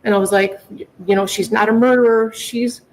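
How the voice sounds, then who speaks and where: A woman's voice: fairly high pitch, steady tone, a little fast, slight background noise, rough recording. A middle-aged woman making an emotional, unscripted plea to a judge, emphatic and often repeating herself. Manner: pleading, repetitive, emphatic. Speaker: mother pleading in court for her daughter.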